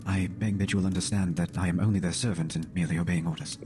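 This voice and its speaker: Casting: official messenger, done by a male narrator, low and stiff, uneasy, music underneath. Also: fairly even intonation, fast talker, natural voice. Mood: formal, uneasy. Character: formal, uneasy male company messenger bearing bad news. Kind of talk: dramatic horror story reading.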